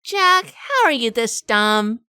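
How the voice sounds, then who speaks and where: A woman acts out a sharp-tongued dame from a hardboiled gangster radio play. Her voice is clear and fairly high, with lively swings in intonation. She is brisk, sardonic and always a step ahead. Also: dry recording, studio quality, exaggerated voice. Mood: exasperated, teasing. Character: quick-witted, sharp-tongued wife among gangsters, also narrating. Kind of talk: hardboiled 1940s gangster audio drama acting.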